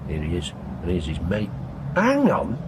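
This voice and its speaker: Radio crime drama: dutiful male detective constable, slightly wry, animated delivery, noisy ambience. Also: low-pitched, moderate pace. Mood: curious, eager. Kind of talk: naturalistic radio drama acting. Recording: noisy ambience with vehicle sounds.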